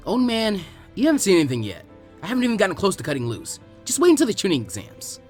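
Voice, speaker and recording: Cocky young ninja boy played by a male narrator: raised pitch, eager and bold, music behind. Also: huge pitch swings, quick pace. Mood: cocky, excited. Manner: bold, informal, upbeat. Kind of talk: acted dialogue in fan fiction audiobook.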